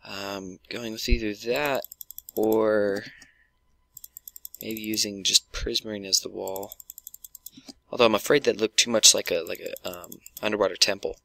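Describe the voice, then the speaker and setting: A man with a sore throat and blocked nose talks over his game, stopping and restarting his sentences as he goes. His voice sits a little low yet sounds bright and pushed forward. Keyboard and mouse clicks patter quietly behind him. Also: fairly even intonation, gravelly. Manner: halting, many ums and restarts. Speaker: gaming YouTuber. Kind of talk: casual gameplay commentary.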